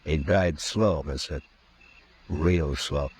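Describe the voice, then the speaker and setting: An actor playing a tough, calm frontier marshal in a 1950s radio drama, speaking in a firm, measured way. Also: male voice, very deep voice, heavily acted. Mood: menacing. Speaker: lead actor. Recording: old radio broadcast with faint hiss.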